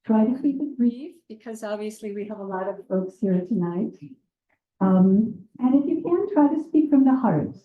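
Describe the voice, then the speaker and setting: A woman moderating a community panel, welcoming a live audience in a gentle, measured and slightly hesitant way. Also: a little high, lively intonation, narrow, tinny audio. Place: community meeting room with a seated circle. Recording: roomy room sound.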